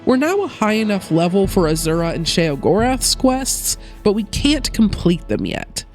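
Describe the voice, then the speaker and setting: A woman giving a steady, explanatory gameplay narration as a gaming video creator, her voice a little off while she recovers from a cold. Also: medium pitch, moderate pace, faint music behind. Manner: steady, explanatory rule rundown. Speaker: gaming YouTuber.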